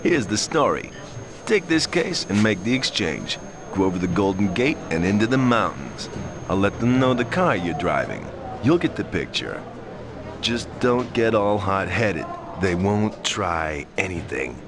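A calm, controlling criminal fixer in a video game. He gives clipped orders in a low, animated voice, over clear background noise. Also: unhurried. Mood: calm, firm. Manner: clipped, instructing. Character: calm, controlling male criminal handing out jobs. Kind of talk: video game cutscene acting.

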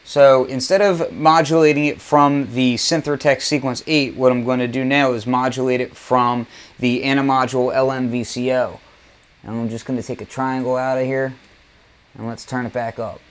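A man's voice: mid-range voice, little pitch movement, normal speed, smooth. A man presenting a casual hands-on demonstration of audio gear, explaining each step as he does it. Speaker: presenter demonstrating a synthesizer module. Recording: noticeable background noise.

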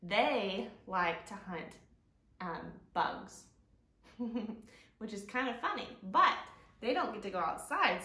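A woman in her thirties hosting storytime for young children, presenting and reading aloud expressively in simple, drawn-out phrasing. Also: medium pitch. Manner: expressive, simple child-directed delivery with drawn-out key words. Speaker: children's librarian, storytime host. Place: living room at home, indoors. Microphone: camera a few feet away. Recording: roomy sound from camera mic.

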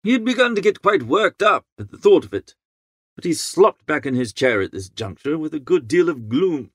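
A man playing a good-natured, easily flustered young upper-class idler who narrates an audiobook in a chatty, slangy, expressive way. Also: fairly high pitch, moderate pace, breathy edge, dry recording, clean studio sound. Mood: rueful narrating. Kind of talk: first-person audiobook narration.

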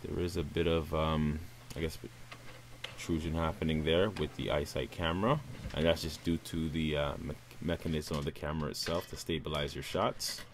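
Man, very deep voice, relaxed upbeat tech reviewer, faint background hum. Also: normal speed. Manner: relaxed, upbeat presenter addressing viewers as guys. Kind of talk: casual unboxing voice-over.

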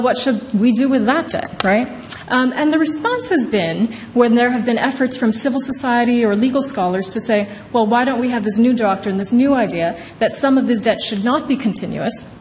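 A woman in her thirties with a fairly high voice gives an academic book talk in a conversational way. She often tags her points with a questioning "right?". The hall adds reverb, with some light noise. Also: moderate pace, a little mellow. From Indonesia. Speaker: law professor, author presenting her book. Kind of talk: informal academic talk at a podium. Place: large wood-panelled courtroom-style hall. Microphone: podium microphone.

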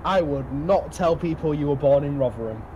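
A man's voice: a little high, dark tone, lo-fi sound, a little hiss or hum. A man with a West Yorkshire accent, joking and swearing with his squad during a war game. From West Yorkshire, England. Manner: dry, swears often, quick to laugh. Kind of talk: casual gaming banter.

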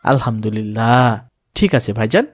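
A man voicing a pious, kindly father in a moral cartoon, giving gentle counsel in a calm, polite, instructive way. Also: medium pitch, moderate pace, background noise, natural voice. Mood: reassuring, pious. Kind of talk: cartoon voice acting in moral story.

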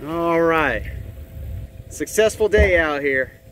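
A man's voice: on the high side, animated, slow, a bit bright. A middle-aged American man giving casual, folksy running commentary as host of a fishing vlog. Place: outdoors on a small boat on open water. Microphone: handheld camera or phone. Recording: noisy outdoor boat and water sound.

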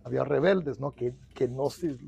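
A man's voice: mid-range voice, normal speed, creaky, husky. A middle-aged Mexican-American man speaking Spanish with English mixed in, telling long stories as a union organizer, with many restarts, on a lapel mic in a small office. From United States. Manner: mixes English into Spanish, many restarts, animated hand gestures. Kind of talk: storytelling interview.

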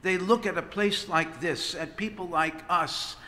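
A middle-aged priest preaches a sermon in an unhurried, measured rhythm. His voice is high and bright, and long reverb trails every phrase through the big stone church. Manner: expressive preaching with sweeping hand gestures. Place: large stone church, at the pulpit. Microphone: pulpit microphone on a stand.

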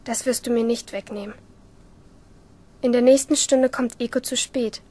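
Resentful schoolgirl narrator for radio drama, female actor: slightly high, husky, obsessive, confiding her inner thoughts. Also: steady tone, moderate pace, dark tone, lightly acted. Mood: resentful, tense. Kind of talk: first-person radio play narration.

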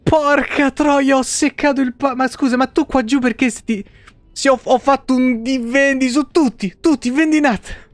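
A young man doing casual, animated live game commentary in slangy language with frequent swearing. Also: extremely high pitch, moderate pace, no echo. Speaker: game streamer.

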